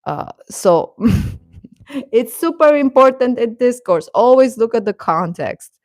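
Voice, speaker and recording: A woman hosting a livestream, giving casual fan commentary to her chat in a rambling, halting, emphatic way that repeats points. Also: a little high, expressive, darkish tone, no room sound, studio quality. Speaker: livestream host.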